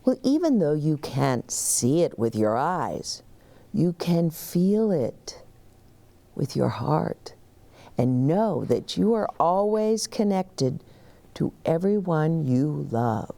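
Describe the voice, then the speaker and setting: Woman as a slow, soothing storybook mother. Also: low-pitched, very animated, vocal fry, warm tone, exaggerated voice. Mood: tender, explaining. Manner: soothing, patient, tender. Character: gentle, reassuring storybook mother of young twins.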